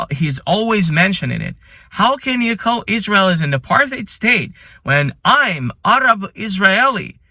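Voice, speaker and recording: A man who is not a native English speaker hosts a ranked commentary, speaking earnestly and with admiration, with some halting phrasing. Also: on the high side, sharp tone, dry recording, clean studio sound. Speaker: channel host. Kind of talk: ranking list commentary.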